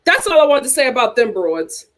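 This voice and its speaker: High-voiced, animated woman host chatting. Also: brisk. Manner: animated, gossipy, opinionated, blunt; repeats phrases for emphasis. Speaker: host of a reality TV chat show. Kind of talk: casual live chat commentary, reacting to viewer comments.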